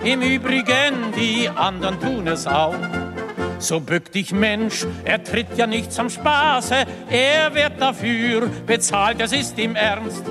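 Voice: mid-range voice, lively intonation, takes it slowly. A singer performing a satirical cabaret chanson in verse, with musical accompaniment. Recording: music behind the voice.

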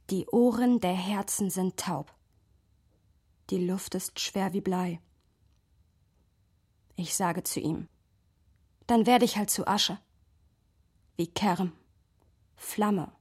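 An actress playing a thoughtful, poetic and playful young woman in a German radio play, with a breathy, husky voice. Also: medium pitch, darkish tone. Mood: hushed, then intense, reciting. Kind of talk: dramatic radio play acting and narration.

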